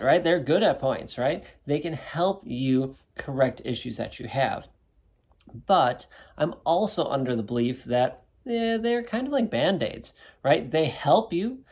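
A man in his thirties talks on his own to listeners of his wellness show. His voice sits at an ordinary middle pitch. He chats in a relaxed way and keeps checking in with a quick "right?". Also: creaky. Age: 30s. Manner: conversational, keeps asking "right?". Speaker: podcast host and wellness coach. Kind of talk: casual solo talk to listeners.

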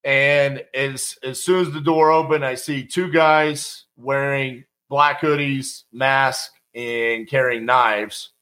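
A man's voice: mid-range voice, slow pace, hardly any pauses. A middle-aged man telling a personal story in a casual, conversational way and acting out the quotes. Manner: conversational, animated, acts out quotes.

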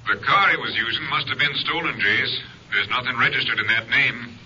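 An actor playing an efficient American police captain in a fifties radio drama, crisp and official in his reports. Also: mid-range voice, brisk, bright, forceful tone, heavily acted. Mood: businesslike. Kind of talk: 1950s radio drama acting. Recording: muffled old radio recording, faint noise.

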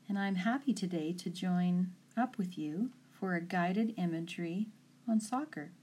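A woman speaking as a sports mental coach, leading a guided imagery session in a calm, deliberate, instructing way. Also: mid-range voice. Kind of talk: guided imagery meditation for athletes.

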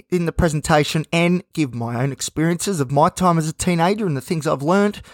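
A man with a slightly high, croaky voice talks through a casual solo podcast. He is nervous and rambling, with fillers, restarts and a dry mouth. The recording is close and dead, with no room sound. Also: no echo. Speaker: podcast host.

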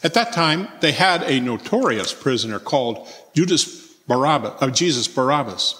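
A man's voice: mid-range voice, animated, normal speed, fairly bright tone. A man reading a Bible narrative aloud as the narrator at church. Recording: long church reverb.

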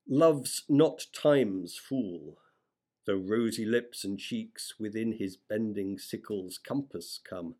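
A man reciting classic poetry as a reader, in a measured, expressive way. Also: on the low side. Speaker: sonnet reader.

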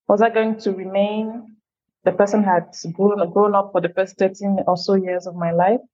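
Measured woman from Cameroon, slightly muffled. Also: mid-range voice, darkish tone. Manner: thoughtful, measured, speeds up on asides. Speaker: guest, feminist leader. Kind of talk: reflective interview answers.

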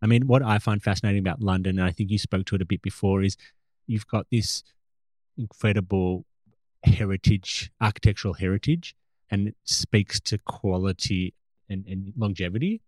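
A man hosting an interview, asking questions and adding thoughtful comments in a measured, articulate way. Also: fairly low pitch, a little mellow, dry recording, low-quality recording. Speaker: interviewer, host.

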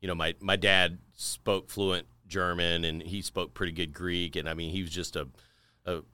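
A man's voice: sits low, flat delivery, normal speed, sharp tone, smooth, dry recording. A middle-aged man from Tennessee, a podcast guest telling stories of his life in a rambling casual way with fillers and repeats. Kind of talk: casual interview storytelling.

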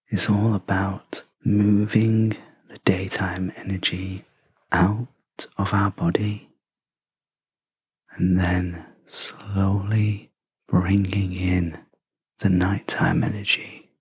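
A guide leading a sleep meditation in a breathy half-whisper, gentle and soothing, with long pauses between short phrases. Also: deep voice, no echo. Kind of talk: guided sleep meditation, soft scripted narration.

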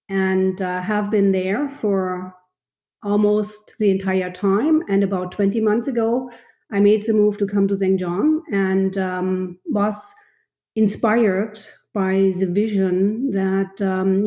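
A woman from Germany with a German accent, a university vice president answering interview questions in a thoughtful, measured way with frequent pauses. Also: medium pitch, dark tone, reverberant. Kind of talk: podcast interview.

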